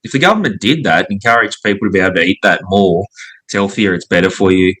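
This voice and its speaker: Bright, low-voiced laid-back Australian man. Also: quick pace, poor audio. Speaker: pub owner, interview guest. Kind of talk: casual chat.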